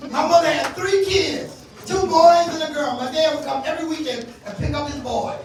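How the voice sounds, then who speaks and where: A woman comedian from South Carolina with a deep voice, doing a loud, punchy stand-up set and working the crowd. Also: recorded from a distance, background noise. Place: comedy club stage. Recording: echoey live venue, mic heard from afar, crowd laughter. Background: audience laughing.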